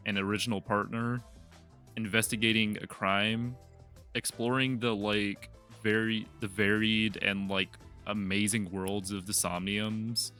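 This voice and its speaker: A man with a fairly low, bright and forceful voice chats on a gaming podcast. He talks in an animated way, laughs often and explains his ideas at length. Faint music plays behind him. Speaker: podcast co-host. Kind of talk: casual podcast chat.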